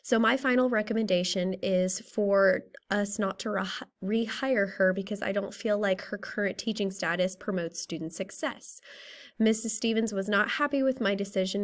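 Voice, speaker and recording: Woman, slightly raspy, thirties, steady methodical class presentation, mid pitch. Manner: steady, methodical, works through a list of questions. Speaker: graduate student presenting a case study. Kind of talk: reading a prepared class presentation.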